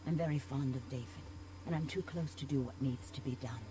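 A woman's voice: a bass voice, brisk. Seductive, scheming vampire woman, noisy recording. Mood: grave, intimate. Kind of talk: video game voice acting.

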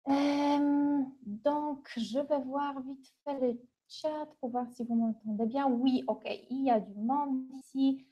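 A middle-aged woman from Poland with a Polish accent, presenting an informal webinar in a halting, conversational way. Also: sits high, fairly even intonation, dark tone. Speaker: university international relations manager, webinar presenter. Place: small office, indoors. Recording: dull, lo-fi webcam audio.

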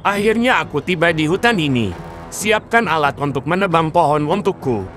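A man's voice: on the high side, expressive, moderate pace, background noise, close to an everyday voice. A man voicing an arrogant, greedy king who boasts and barks commands in a dubbed cartoon. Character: arrogant greedy middle-aged male king.

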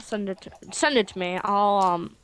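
A woman's voice: medium pitch, normal speed, fairly bright tone. Rambling, joking young woman gamer. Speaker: gamer in a multiplayer session. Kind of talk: casual gaming chat.

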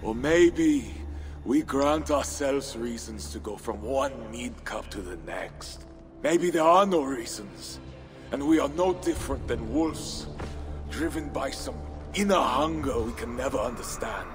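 An actor playing a middle-aged, world-weary and bitter Viking warlord in a video game, brooding and declamatory. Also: male voice, medium pitch, lively intonation, a little slow, lightly acted. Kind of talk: dramatic video game acting. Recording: music behind the voice.

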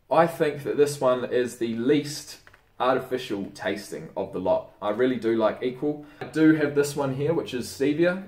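A young Australian man casually giving diet tips in a chatty, swearing, relaxed vlog manner. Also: medium pitch. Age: young adult. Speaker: fitness vlogger. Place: indoor room by a window. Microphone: camera mic on desk. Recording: roomy, mic a bit distant.

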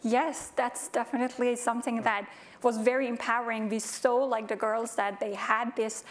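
A woman's voice: a little high, level tone, normal speed. Earnest woman speaking English. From Afghanistan. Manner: earnest, many fillers, non-native phrasing. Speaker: panelist. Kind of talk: conference panel answers. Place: large conference stage. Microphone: lapel mic.

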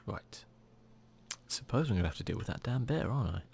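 A man giving soft, near-whispered ASMR commentary as he hosts a gaming video, in a casual, muttering, self-deprecating way. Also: medium pitch, lively intonation, brisk, vocal fry, a little hiss or hum.

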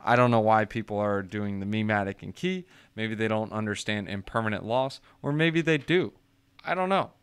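A man's voice: fairly low pitch, normal speed, smooth. A man casually walking viewers through a screen share, joking and chatty, calling them guys. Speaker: crypto YouTuber.

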